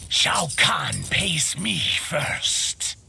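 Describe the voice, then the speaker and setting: Greedy demonic video game villain, gravel-throated and slow, sneering formal menace. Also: male voice, a little high, big pitch swings, bright, forceful tone, faint music behind, heavily acted. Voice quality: gravelly, rasping. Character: greedy, menacing male demonic tax collector serving an emperor.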